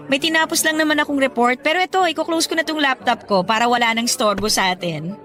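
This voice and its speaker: A woman's voice: high-pitched, a little fast, pushed and bright, faint music behind. A woman playing a dutiful, caring young breadwinner daughter in a Tagalog radio drama. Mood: sweet, apologetic.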